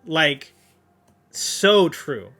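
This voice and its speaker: A man's voice: a little high, big pitch swings, very slow, sharp tone, soft background music. A young man streaming his reaction to a manga, rambling casually with lots of fillers. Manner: rambling, filler-heavy, restarts.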